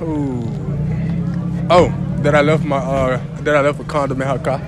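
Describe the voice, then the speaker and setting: A man's voice: mid-range voice, level tone, normal speed, heavy background noise. A teenage boy answering an interviewer outside a school, blunt and boastful. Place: outdoors by a school building.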